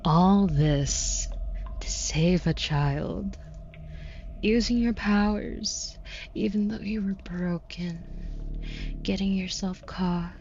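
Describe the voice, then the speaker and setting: A woman playing a flirty, menacing demon in an audio roleplay, condescending and teasing, with a breathy voice that dips into whispers. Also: lowish voice, a little mellow, muffled recording, a little hiss or hum. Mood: scornful. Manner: teasing, condescending, slow deliberate delivery dropping into whispers. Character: flirty, menacing female demon taunting a caged angel.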